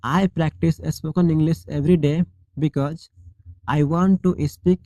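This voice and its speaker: A male English teacher leading a shadowing drill, reading each model sentence slowly and deliberately, then repeating it clearly. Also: a little high, fairly even intonation, dark tone, dry recording.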